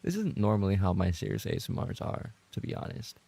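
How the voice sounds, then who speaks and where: A lowish male voice, thick with fry and very husky. He is a streamer talking casually to his chat, rambling along with playful banter. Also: very animated, quick pace, warm tone. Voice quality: gravelly, husky. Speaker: livestreamer and ASMR voice actor reading chat.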